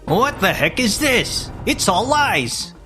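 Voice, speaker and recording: A selfish, blustering young husband acted by a man in a manga drama. His indignant, whiny delivery swings widely in pitch, with light music underneath. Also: very animated, takes it slowly, pushed and bright, heavily acted. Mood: outraged. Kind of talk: manga dub acting.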